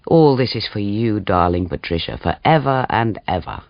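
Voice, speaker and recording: A man's voice: on the low side, animated, normal speed, no echo, dull audio, voice pushed down. A man voicing a weary, scornful man leaving his lover in an audiobook, curt and condescending. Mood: cold, scornful.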